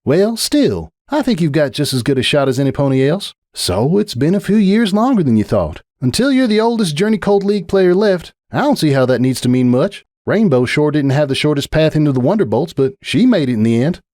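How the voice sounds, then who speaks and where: A man voicing a young female farm pony who is kindly, steady and teasing, with a folksy, reassuring way of talking. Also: a little high, a little fast, few pauses, no room sound, clean studio sound. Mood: encouraging.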